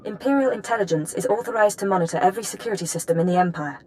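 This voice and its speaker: An actress playing a businesslike intelligence handler in a video game, formal, precise and composed. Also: female voice, mid-range voice, rapid-fire, a little mellow, slight background noise, lightly acted, low-quality recording. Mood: businesslike briefing. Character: crisp, businesslike female intelligence handler, coolly in control. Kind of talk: video game voice acting, mission briefing.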